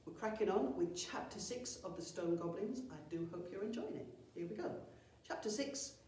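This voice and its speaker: Echoey, lower-pitched woman reading a story. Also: lively intonation, normal speed, a little mellow, slight background noise. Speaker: primary school storyteller. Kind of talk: expressive children's story reading. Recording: very echoey room, far from mic.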